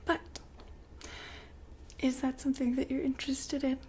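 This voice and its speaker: A woman whispering softly and breathily in an ASMR roleplay, with gentle, polite sales patter. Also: sits high, little pitch movement, normal speed, slight background noise.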